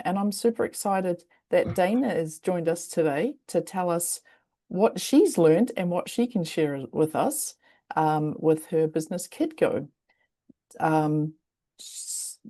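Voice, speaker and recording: A woman's voice: fairly low pitch, warm tone. A woman with a New Zealand accent moderating an online event in a friendly, casual way, with hesitant ums. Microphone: video call.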